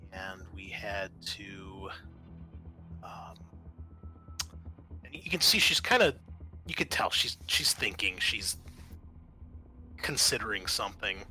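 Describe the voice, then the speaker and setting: A man working as game master, improvising tabletop narration in a halting, thinking-aloud way. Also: sits low, lively intonation, pushed and bright, soft background music.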